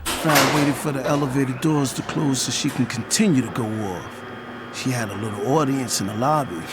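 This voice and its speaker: A man's voice: mid-range voice, fairly quick, noisy background, lightly acted. An American man playing a hot-tempered, swaggering record label boss who narrates his own story in brash slang for an audio drama. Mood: unbothered, cocky. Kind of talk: first-person audio drama narration with dialogue. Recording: loud music behind the voice.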